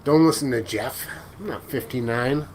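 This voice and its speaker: A man in his forties hosting a casual live stream, chatting with viewers in a relaxed, joking way. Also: mid-range voice, normal speed. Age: 40s. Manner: laid-back, joking, chuckles often. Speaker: livestream host. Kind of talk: casual live chat reading viewer comments. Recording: noticeable background noise.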